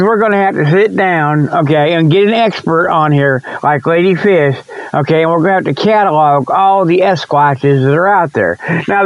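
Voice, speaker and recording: High-voiced folksy man, rambling comic host. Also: dry recording, muffled recording. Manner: folksy, repetitive, frequent okay and you know. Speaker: host of a comic report segment. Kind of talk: rambling comedic radio monologue.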